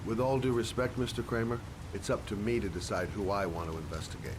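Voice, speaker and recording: An actor playing a wry, unintimidated private investigator in a video game drama, with a dry, polite but firm manner. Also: male voice, on the low side, fast, close to an everyday voice. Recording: clear background ambient noise.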